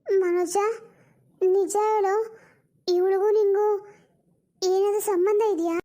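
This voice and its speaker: A woman's voice: very high-pitched, level tone, slow, dark tone. A woman voicing a suspicious, nosy, impatient young woman in a cartoon story, pressing others with accusing questions. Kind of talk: amateur cartoon story dialogue.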